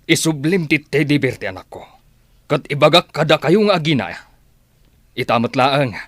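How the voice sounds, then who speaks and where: A man's voice: fairly high pitch, lively intonation, moderate pace, dry recording. An actor with an Ilocano accent playing a stern, protective father in a radio drama, confronting and commanding. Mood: angry, commanding. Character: stern protective father ordering people out of his house.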